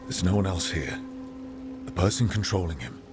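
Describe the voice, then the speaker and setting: An actor playing a steady, encouraging hero with dry wit in a fantasy video game, earnest and gently teasing. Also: male voice, low voice, normal speed, over music. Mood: earnest, supportive. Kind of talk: dramatic video game dialogue.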